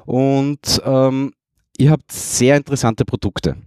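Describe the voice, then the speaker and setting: Mellow-voiced podcast host. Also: male voice, medium pitch, takes it slowly, clear, resonant tone, dry recording, clean studio sound. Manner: friendly, hesitant, many fillers. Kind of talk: casual podcast interview.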